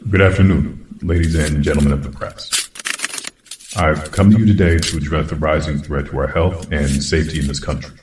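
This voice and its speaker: Man acting a very deep-voiced, grave President. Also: fairly even intonation, fairly quick. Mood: solemn, formal. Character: grave, secretive male President of the United States. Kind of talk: audio drama acting, briefing and formal speech. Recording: echoey, distant, faint noise.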